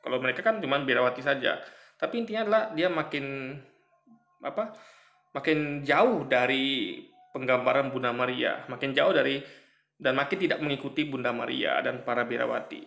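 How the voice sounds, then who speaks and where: A man giving a halting, filler-filled religious commentary on a video he is reacting to, often repeating himself and cutting in. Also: mid-range voice, vocal fry, fairly bright tone. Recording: roomy echo.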